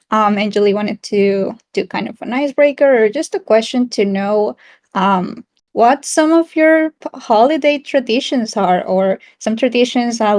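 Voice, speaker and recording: A woman's voice: fairly high pitch, a little mellow. A woman from South America co-hosting a casual online community chat in a friendly, giggly, encouraging way. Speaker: town hall co-host.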